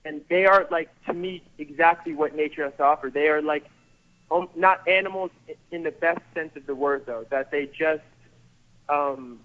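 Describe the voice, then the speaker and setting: A man's voice: on the high side, normal speed. A man in his thirties, a photographer guest, talking earnestly and rambling a little, repeating words as he goes. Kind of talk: casual interview over the phone. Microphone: phone. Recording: tinny phone line.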